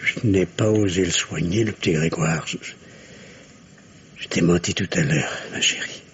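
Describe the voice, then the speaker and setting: Actor playing a deep-voiced disgraced doctor, muffled recording. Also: male voice, normal speed, lightly acted. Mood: ashamed, tender. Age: middle-aged. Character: shamed, confessing alcoholic doctor, father and son. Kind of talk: French radio drama acting. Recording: dull radio recording, faint noise.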